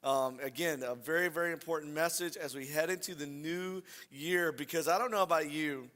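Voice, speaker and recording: A man preaching as a pastor in a conversational, informal way, repeating phrases and checking in with listeners, his voice a little scratchy and hoarse. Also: on the high side, moderate pace, fairly bright tone. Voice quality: slightly scratchy. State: slightly hoarse from voice loss. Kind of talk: conversational sermon to a congregation. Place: church hall. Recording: reverberant hall sound.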